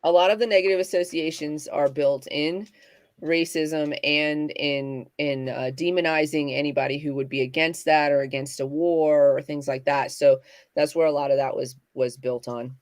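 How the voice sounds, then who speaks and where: A woman co-hosting a casual livestream talk, speaking in halting bursts with many fillers and restarts. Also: sits low, steady tone, a little mellow, no room sound. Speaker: podcast co-host.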